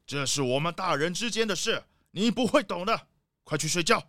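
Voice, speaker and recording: A man's voice: high voice, lively intonation, moderate pace, sharp tone, dry recording, clean studio sound, heavily acted. A man playing an arrogant, short-tempered rich leopard boss with a sneering, blustery manner. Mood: impatient.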